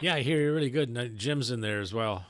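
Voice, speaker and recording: Male ham operator, mid-pitched, casual and halting, with clear background noise and clicks. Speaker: amateur radio operator and live streamer. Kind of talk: casual ham radio chat.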